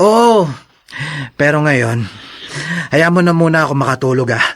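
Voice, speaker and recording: A man's voice: on the high side, sing-song, a little slow, a bit bright. A man playing a tipsy, carefree young husband in a Tagalog radio drama, coaxing and drowsy.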